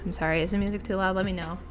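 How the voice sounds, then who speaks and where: Chatty young woman hosting a stream. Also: mid-range voice. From United States. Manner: chatty and giggly, many fillers. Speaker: podcast host. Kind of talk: casual streamed interview.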